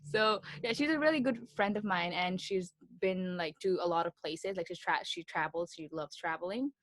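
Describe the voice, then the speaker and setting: A woman's voice: fairly low pitch, normal speed, a bit bright, no echo. Giggly young woman hosting a podcast. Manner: giggly, filler-heavy, loose and chatty. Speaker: podcast host. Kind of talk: casual chat. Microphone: video call.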